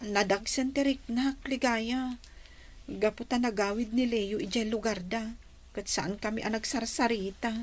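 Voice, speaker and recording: A woman's voice: on the high side, steady tone, a little slow. An actress with an Ilocano accent playing a troubled young woman in love in a radio drama. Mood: troubled, wistful. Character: troubled young woman pining for her sweetheart.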